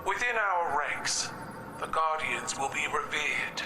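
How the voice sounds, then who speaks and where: A solemn alien empire warlord in a video game. The delivery is slow and declamatory, somewhat raised in pitch. It echoes over clear music. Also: male voice, expressive, background noise. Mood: solemn, imperious. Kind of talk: scripted game cutscene acting.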